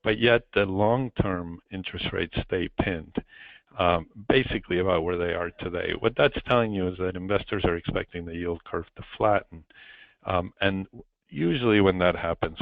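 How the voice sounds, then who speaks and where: A man giving an explanatory financial presentation as an investment chair, speaking haltingly and repeating phrases over a conference call phone line. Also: low-pitched, vocal fry, no echo. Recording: muffled narrowband phone line.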